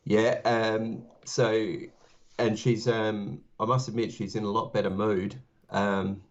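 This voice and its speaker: An Australian man co-hosting a podcast in relaxed, halting chat, full of ums and restarts. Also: on the low side, muffled recording. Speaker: podcast co-host.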